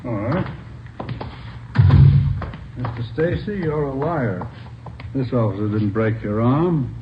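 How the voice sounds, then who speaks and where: A man's voice: medium pitch, expressive, slow. A man playing a firm, forthright doctor in a 1950s radio drama, speaking bluntly and emphatically. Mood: firm, accusing. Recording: muffled, noisy old radio recording.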